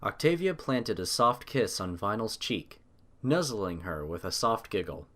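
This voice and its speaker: A man's voice: on the low side, big pitch swings, measured pace, fairly bright tone. A man narrating a scripted story as an audiobook-style reader.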